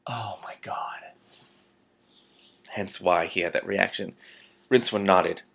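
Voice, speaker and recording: A man's voice: deep voice, slow, creaky, fairly bright tone, slight background noise. A man reading a fantasy novel aloud expressively, breaking off for casual reactions.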